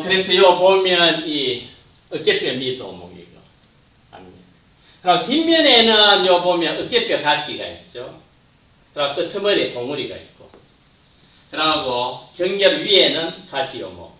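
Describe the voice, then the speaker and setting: A man's voice: on the high side, sing-song, measured pace, dull audio. Roomy, distant male anatomy lecturer. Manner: points at slides, trails off at phrase ends. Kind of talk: classroom lecture.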